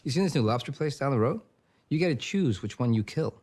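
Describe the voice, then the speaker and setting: An actor playing an arrogant, flippant champion racing driver in a mock-documentary game scene, sneering and scornful. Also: male voice, mid-range voice, lively intonation, fast talker, a little mellow, lightly acted.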